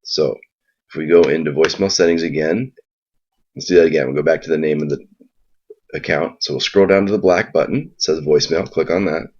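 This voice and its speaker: A man giving an informal, explanatory software walkthrough in a casual spoken style. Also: extremely low pitch, a little fast, breathy edge. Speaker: software tutorial presenter. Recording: dull lo-fi mic sound.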